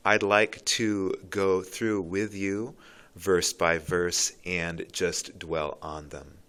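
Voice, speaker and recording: A man with a low voice giving earnest, reflective commentary on a poem, pausing and restarting as he thinks. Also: pushed and bright, smooth. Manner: thoughtful, earnest, with pauses and restarts. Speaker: literature commentator.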